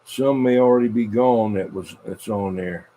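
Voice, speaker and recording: A man's voice: on the low side, moderate pace, mellow. A man hosting a game over the radio with informal, repetitive live chatter, announcing as he goes. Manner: informal, repeats himself.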